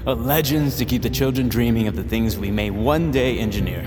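An actor playing a curious, skeptical young highborn scholar, wry and inquisitive, in a fantasy audio drama. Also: male voice, brisk, natural voice. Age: young adult. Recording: long reverb, music behind.

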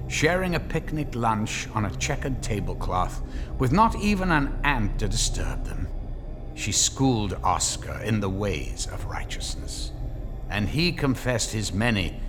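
A man's voice: fairly low pitch, background noise. A man narrating a scripted drama in a wry, theatrical storytelling manner.

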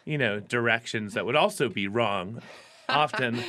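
A man in his forties with a bright, forceful voice talks about life as a working musician. He rambles in a relaxed way, with many fillers and restarts. The pitch sits in the middle. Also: unhurried. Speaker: touring musician guest. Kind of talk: casual interview talk.

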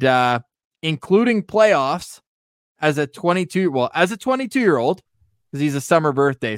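A man's voice: medium pitch, clear, resonant tone, no room sound, clean studio sound. A man hosting a sports podcast, talking through hockey stats conversationally and leaning on the numbers for emphasis. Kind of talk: lively hockey talk with stats.

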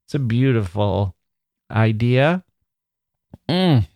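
A middle-aged man hosting a literature podcast in an easy, chuckling, self-deprecating way. His voice sits at an everyday middle pitch. The recording is dry and close, with no room sound. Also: lively intonation, slow, darkish tone, dry recording. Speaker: podcast host. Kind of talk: casual podcast talk and reading a listener email.

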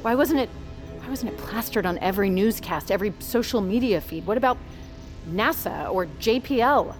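An actress playing a skeptical, sharp-witted woman in an audio drama, questioning and blunt. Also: mid-range voice, lively intonation, normal speed, soft background music, close to an everyday voice. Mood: incredulous, agitated. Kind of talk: audio fiction acting.

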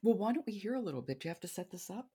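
A woman's voice: mid-range voice, big pitch swings, rapid-fire, mellow, slightly rough. A woman hosting a podcast, interviewing in a conversational style. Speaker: podcast host.

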